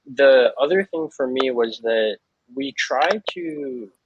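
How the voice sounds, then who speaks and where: A man's voice: mid-range voice, takes it slowly, background noise. A man from Hawaii speaking as a panel guest, earnest and thoughtful, with frequent fillers. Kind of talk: reflective panel talk. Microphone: video call. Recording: dull lo-fi, dry sound.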